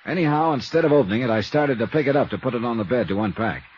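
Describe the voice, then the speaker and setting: A man playing a brisk, hard-boiled American insurance investigator in 1950s radio style, clipped and wry as he narrates and talks. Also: mid-range voice, expressive, a little mellow, husky. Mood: matter-of-fact. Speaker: lead actor and narrator of a radio detective series. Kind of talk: 1950s radio acting, scripted first-person narration. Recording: muffled vintage radio broadcast with music.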